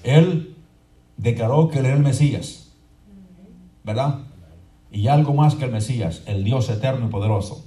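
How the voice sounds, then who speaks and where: A man preaching a fervent sermon as pastor, moving between quiet explanation and shouted proclamation and often asking his congregation to agree. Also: mid-range voice, moderate pace, long pauses. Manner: often asks the congregation "¿verdad?". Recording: echoey room from far off with faint crowd noise.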